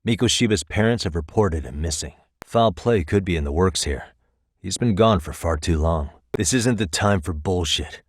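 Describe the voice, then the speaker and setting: A man's voice: sits low, normal speed, no echo, studio quality. A man voicing a wry, laid-back yet firm private detective in casual, conversational English game dialogue. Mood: firm, confrontational. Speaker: video game voice actor.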